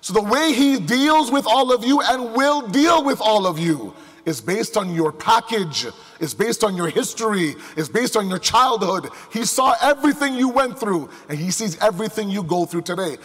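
High-voiced male preacher in a reverberant hall. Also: lively intonation, moderate pace, a bit bright. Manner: emphatic, with rhetorical questions and repetition. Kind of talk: sermon to a live congregation. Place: large indoor hall before a crowd. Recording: echoey hall with long reverb.

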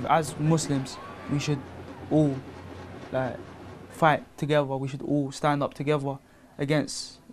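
Young man with a flat voice. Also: a little high, unhurried, noisy background. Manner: flat, casual, says like often. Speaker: interviewee, protester's brother. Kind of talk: casual interview.

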